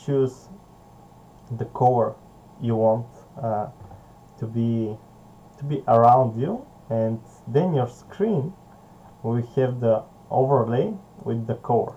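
Bulgarian-accented man, dark mellow tone. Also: mid-range voice. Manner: halting, with fillers and soft laughs. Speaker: software company founder. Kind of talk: screen-recorded software tutorial. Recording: dull, lo-fi home recording with faint hiss.

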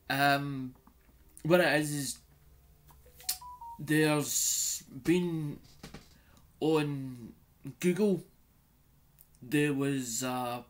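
A man, vlogging casually from a small room at home into a webcam. He rambles and restarts his sentences often, with many "as in" asides. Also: a little high. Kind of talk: casual vlog. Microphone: webcam in front of him.

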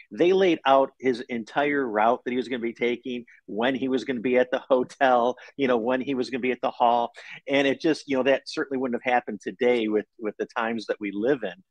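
A man's voice: mid-range voice, no echo, poor audio. A middle-aged man, an author, talking casually and telling stories as an interview guest on a video call. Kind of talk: casual conversational storytelling. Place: indoor living room at home.

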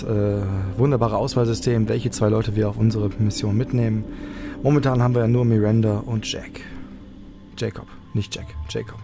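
A man hosts a casual let's play. His voice is gravelly and mid-pitched, and game music plays clearly behind him as he talks.

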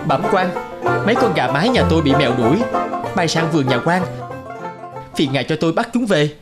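A courteous, shrewd male villager from a cartoon folk tale. He speaks matter-of-factly and respectfully in a slightly high voice, with music behind. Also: big pitch swings, a little fast. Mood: polite. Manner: deferential, matter-of-fact.

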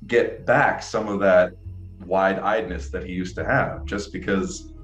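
A man's voice: deep voice, fairly even intonation, measured pace. A young adult man interviewing over a video call, enthusiastic and rambling, often restarting his sentences. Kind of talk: casual interview chat. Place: small room, indoors. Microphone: video call, earbuds. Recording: echoey room with faint music.

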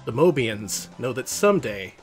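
Animated man narrating a storybook. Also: mid-range voice, moderate pace, faint music behind. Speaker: host reading a picture book.

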